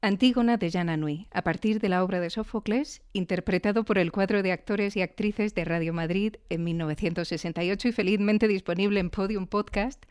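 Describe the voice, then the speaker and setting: A woman's voice: lowish voice, darkish tone, clean tone. A woman with an accent from Spain, presenting and interviewing on a podcast in a conversational, lightly scripted style.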